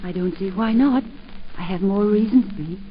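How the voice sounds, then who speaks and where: A woman's voice: medium pitch, normal speed, natural voice. An actress playing a middle-aged wife and mother who teases and coaxes her husband in a 1940s radio drama, also narrating. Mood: affectionate. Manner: gently teasing, coaxing. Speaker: narrator and lead. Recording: dull 1940s radio broadcast.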